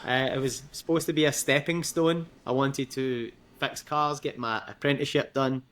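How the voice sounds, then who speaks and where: A young Scottish man speaks as a podcast guest. His talk is chatty and loose, full of fillers and quick laughs. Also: mid-range voice, fairly even intonation, a bit bright, a little hiss or hum. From Scotland. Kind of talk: casual interview.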